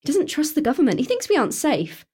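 A woman's voice: sits high, huge pitch swings, quick pace, darkish tone. A woman with a British accent playing an anxious, sensible teenage daughter in a naturalistic radio play, earnest and cautious.

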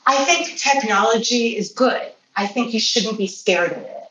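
A woman who grew up speaking Polish, answering interview questions as a healthcare professional in a measured, explanatory way. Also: on the high side, fairly bright tone. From Poland or Polish-speaking family. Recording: roomy, distant mic, faint noise.

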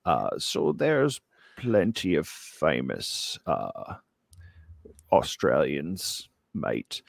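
A man giving a comic mock lecture in a put-on Australian accent, hesitant and deadpan. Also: rumbling low, extremely slow, vocal fry, no echo, studio quality. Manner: putting on a joke Australian accent. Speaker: comic presenter giving a slideshow talk. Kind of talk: mock lecture, improvised comedy presentation.

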